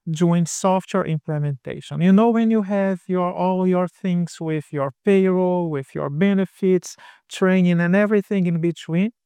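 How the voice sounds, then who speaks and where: A man's voice: sits high, normal speed, warm tone, smooth, dry recording. A man in his fifties from Brazil with a Brazilian Portuguese accent, co-hosting a casual podcast chat in an earnest, explanatory way. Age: 50s. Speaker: podcast co-host.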